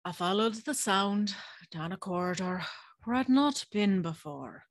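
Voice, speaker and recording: A woman's voice: medium pitch, animated, unhurried, no room sound, clean studio sound. An actress playing a timid, curious, polite young servant girl in an audio drama, telling her story in a hesitant, wondering way. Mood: reflective, wondering. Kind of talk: audio drama acting with first-person storytelling.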